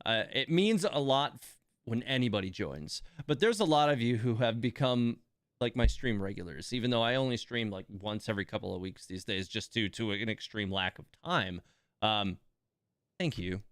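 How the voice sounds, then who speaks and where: Guy streaming games, clear smooth voice, dry room sound, casually thinking out loud while reading stuff off. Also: fairly low pitch, normal speed, no echo. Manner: thinking aloud, reading game text with asides. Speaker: livestream host. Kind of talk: casual gaming livestream commentary.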